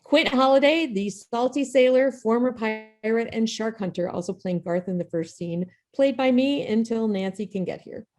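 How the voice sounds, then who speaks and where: A woman's voice: fairly high pitch, normal speed, few pauses, darkish tone. Female host for video-call show introduction; informal, jokey, self-effacing delivery. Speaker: playwright and host of an online table read.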